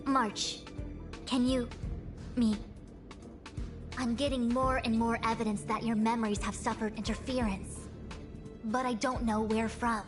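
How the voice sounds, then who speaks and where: A woman playing a composed, measured, knowing young seer in an anime-style video game. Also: a little high, level tone, lightly acted. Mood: serious. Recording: game music behind voice.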